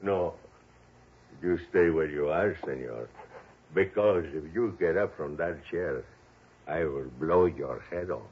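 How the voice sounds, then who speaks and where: A man's voice: very deep voice, slow, slight background noise, full character voice. An actor playing a menacing Mexican gunman in an old radio drama, speaking formal, clipped English with a Mexican accent. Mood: cold menace. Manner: formal, clipped phrasing, threatening. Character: menacing Mexican henchman holding a gun. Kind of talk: 1960s radio drama acting. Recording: muffled, lo-fi old radio recording.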